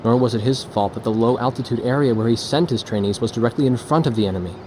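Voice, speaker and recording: An actor playing a thoughtful war photojournalist, narrating past events in a measured, reflective way for a game. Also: male voice, medium pitch, background noise, close to an everyday voice. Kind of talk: scripted cinematic narration.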